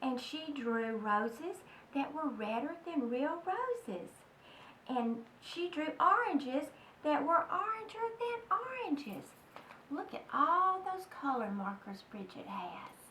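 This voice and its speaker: A woman's voice: high voice, sing-song, slow, sharp tone. A woman reading a children's storybook aloud expressively, speaking directly to young listeners. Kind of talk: children's read-aloud narration. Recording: roomy, distant mic, faint noise.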